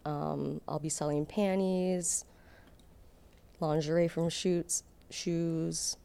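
A woman with a Michigan accent speaks in a fairly low voice with plenty of fry. She talks casually, like a guest in a relaxed interview. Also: slow. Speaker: interview guest, adult content creator.

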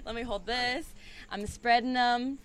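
A woman's voice: on the high side, steady tone, measured pace, slight background noise. A woman talking as a podcast guest, giggly, frank and playful. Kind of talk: casual chat.

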